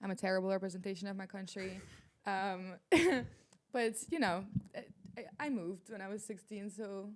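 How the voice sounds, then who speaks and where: A woman's voice: mid-range voice, steady tone, normal speed. A young woman from Ukraine chatting casually and asking questions as a podcast co-host. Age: young adult. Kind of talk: casual chat.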